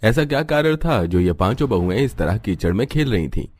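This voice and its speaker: A man's voice: on the low side, very animated, brisk, darkish tone, no room sound. A man reading scripted narration for a moral story as its narrator.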